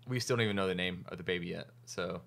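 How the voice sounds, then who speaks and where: A man in his thirties hosting a podcast from a small studio into a boom mic, chatting easily with laughs and trailing fillers. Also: fast, vocal fry, fairly bright tone. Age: 30s. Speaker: podcast host, restaurant owner. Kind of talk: casual chat. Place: small studio office with monitors. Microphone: large studio mic on boom arm.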